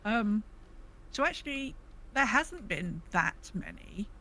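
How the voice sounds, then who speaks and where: A woman's voice: medium pitch, sing-song, takes it slowly, sharp tone. A woman co-hosting a podcast, chatting casually about gaming. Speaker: podcast co-host. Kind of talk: casual discussion of a video game.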